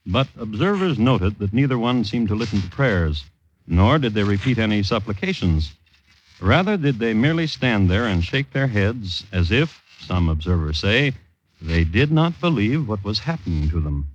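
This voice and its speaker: Dry, animated crime chronicler. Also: male voice, on the low side, a little hiss or hum. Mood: dry, wry. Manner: measured, wry, formal storytelling. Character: dry, precise male crime-story chronicler and host. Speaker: host narrator of radio crime series. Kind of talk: 1950s radio scripted narration. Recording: dull old radio recording.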